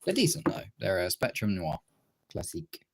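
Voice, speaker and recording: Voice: sits low, wildly swooping pitch, moderate pace. A livestream host with a congested, tired voice, chatting casually and informally to viewers while drawing, rambling and swearing now and then.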